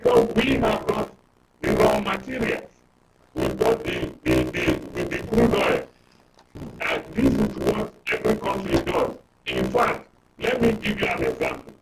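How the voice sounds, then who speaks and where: A Nigerian man speaks as an expert guest in a live discussion, with a creaky, gravelly voice. He explains emphatically, step by step, checking that listeners follow. The sound is roomy and distant, with noticeable noise. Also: rumbling low, very animated, unhurried. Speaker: panel guest, expert commentator. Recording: roomy, distant mic, clear noise and clicks.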